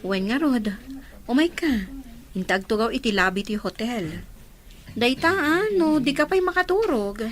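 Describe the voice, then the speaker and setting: A woman plays a friendly big-sister colleague in an audio soap. Her voice is fairly high and very bright. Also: animated, measured pace. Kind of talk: Ilocano audio soap drama acting.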